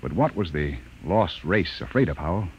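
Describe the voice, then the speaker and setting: An actor playing a skeptical, commanding spaceship captain, brisk and questioning, in old-time radio drama style. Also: male voice, low voice, animated. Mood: sober. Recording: dull, slightly noisy old radio recording.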